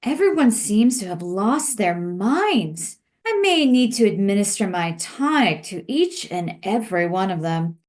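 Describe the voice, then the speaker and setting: A woman playing a calmly sinister nurse in a comic audio play, speaking in a composed, formal, measured way. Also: mid-range voice. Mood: scheming, composed. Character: calmly sinister female nurse eager to dose people.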